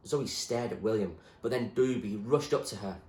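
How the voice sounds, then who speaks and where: A man reading a novel aloud as expressive storybook narration, like a teacher reading to a class. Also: lowish voice, normal speed, reverberant.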